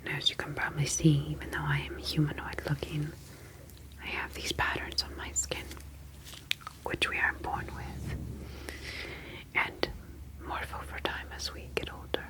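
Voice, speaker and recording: A woman playing a gentle, reassuring humanoid ocean alien in a soothing, formally phrased ASMR sci-fi roleplay. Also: deep voice, monotone, normal speed, pauses often, low-quality recording. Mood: calm, reassuring.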